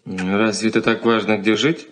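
An actor playing an earnest young fiancé in a radio play, polite and measured. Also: male voice, fairly low pitch, level tone, a bit bright, close to an everyday voice. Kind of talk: Soviet radio play acting.

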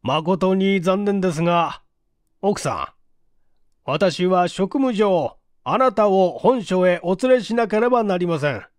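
Cool, probing police chief for mystery fiction, acted by a male reader, formal, deliberate, dry sound. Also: high voice, lively intonation, dry recording. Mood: grave, formal.

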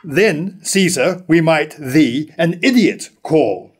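A man's voice: a little high, lively intonation, takes it slowly, husky, exaggerated voice. An older man playing a proud, defiant nobleman in a staged reading of early verse drama. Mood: defiant, reassuring. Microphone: stand mic at lectern.

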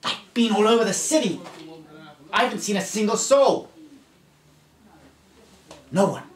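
Man playing a lone survivor, suspicious, hushed, intense, whispery, roomy sound. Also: high voice, expressive, unhurried, voice pushed up. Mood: disbelieving. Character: haunted lone male survivor, suspicious and menacing. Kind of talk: amateur film scene rehearsal.

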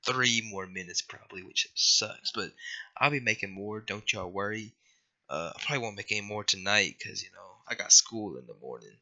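A man's voice: fairly low pitch, sharp tone. A young man with a scratchy voice after being sick, rambling and apologizing through casual gameplay commentary. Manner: rambling, apologetic, lots of fillers. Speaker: gaming youtuber.

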